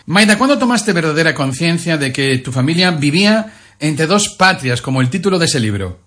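A man hosts the interview with a slightly high voice. He introduces his guest and poses his questions in a measured, formal way. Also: big pitch swings, a bit bright. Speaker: radio host.

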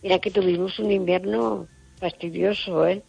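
A woman's voice: on the low side, steady tone, normal speed, vocal fry, music in the background. An older woman from Madrid calling in to a radio show, chatting casually in a rambling, repetitive way over the telephone. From Madrid, Spain. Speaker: radio phone-in caller. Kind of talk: casual chat. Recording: dull, muffled call-in line.

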